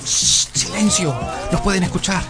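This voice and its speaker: An actor playing a wary, fearful Indian jungle guide in a radio drama, speaking in an urgent hush. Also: male voice, high voice, moderate pace, natural voice. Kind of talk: Spanish-language radio drama acting. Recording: music behind the voice.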